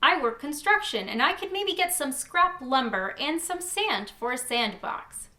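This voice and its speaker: A friendly working man from next door, read by a woman, in a storybook. He sounds obliging and tentative, with a high, bright voice. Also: expressive, a little slow, full character voice. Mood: helpful, tentative. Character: friendly, helpful male construction-worker neighbor. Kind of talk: children's storybook character reading.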